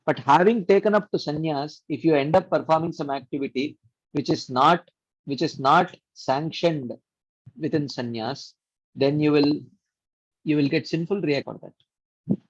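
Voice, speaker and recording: Man, scripture teacher, online class, slightly high voice, explanatory, chants verses, many fillers, dry dull audio. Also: a little slow, husky, no room sound. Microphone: computer mic on a video call.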